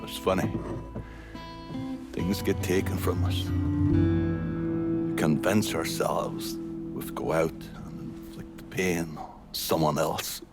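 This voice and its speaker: A man voicing a hard-bitten older gang boss in a video game cutscene, with a slow, bitter drawl. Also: low-pitched, steady tone, vocal fry, over loud music, full character voice. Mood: reflective.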